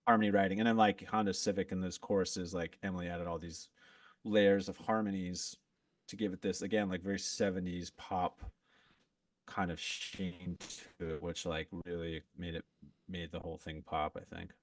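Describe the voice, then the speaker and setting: Low-voiced man chatting off-mic. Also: normal speed, no room sound, recorded from a distance. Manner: relaxed, rambling, lots of "like" and restarts. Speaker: podcast guest, musician. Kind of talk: casual chat.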